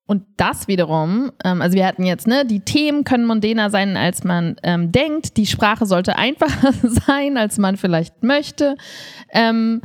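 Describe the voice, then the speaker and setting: A woman co-hosts a relaxed podcast conversation. She talks in everyday colloquial language and states her opinions with emphasis. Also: mid-range voice, measured pace. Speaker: podcast co-host and improv performer. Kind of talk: casual conversational podcast chat.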